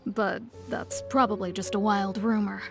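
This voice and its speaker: A woman's voice: medium pitch, flat delivery, normal speed, gravelly, natural voice. An actress playing a composed young woman speaking formally in a video game. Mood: explaining. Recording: game audio with music.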